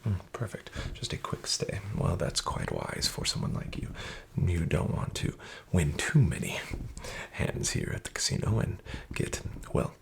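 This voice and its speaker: A man playing a sly, gravelly casino dealer. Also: sits low, expressive, unhurried, exaggerated voice. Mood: sly coaxing. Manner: soft-spoken, half-whispered, coaxing and falsely friendly. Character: sly, smooth-talking male casino card dealer. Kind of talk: soft-spoken ASMR roleplay. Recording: audible background noise with card shuffling.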